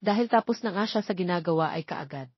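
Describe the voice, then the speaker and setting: A woman's voice: lowish voice, fast, husky, no room sound. A woman with a Filipino accent reading a scripted romance story as its narrator. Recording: slightly dull lo-fi sound.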